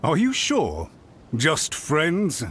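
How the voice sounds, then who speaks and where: A man playing a highly strung, suspicious retainer who speaks formally and defensively. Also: huge pitch swings, extremely slow, fairly bright tone, slight background noise, exaggerated voice. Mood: lecturing.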